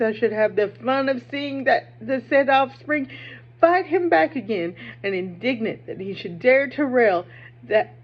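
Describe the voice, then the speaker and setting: A woman reading aloud as a cruel, gloating man from a gothic novel. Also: a little high. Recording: dull, muffled lo-fi recording.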